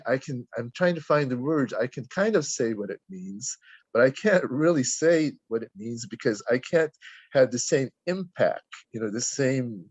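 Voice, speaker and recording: A man's voice: mid-range voice, a little mellow, husky, no echo. An older man, Tagalog his first language but raised in America, talking reflectively in a casual group discussion and often restarting as he searches for words. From Philippines, raised in the United States. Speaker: reading group participant. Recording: dull lo-fi dry sound.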